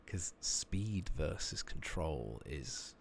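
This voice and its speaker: Male ASMR gamer, very low, heavily creaky, soft-spoken murmur, casual and sweary, noisy home recording. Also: very animated, drawn-out words. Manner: casual, swearing, muttering to himself. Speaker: ASMR gaming streamer. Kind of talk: soft-spoken ASMR gameplay commentary with whispering. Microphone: makeshift rigged-up close microphone. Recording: noisy home mic with clicking.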